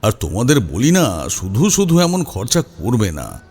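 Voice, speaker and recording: A man's voice: lowish voice, huge pitch swings, unhurried, a little mellow, breathy edge, heavily acted. A man playing a genial older tantric storyteller in an audio drama, with an avuncular drawl. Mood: pleased, teasing.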